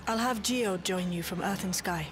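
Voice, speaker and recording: A woman's voice: mid-range voice, normal speed, over music, lightly acted. An actress playing a caring, authoritative woman in a video game cutscene. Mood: concerned.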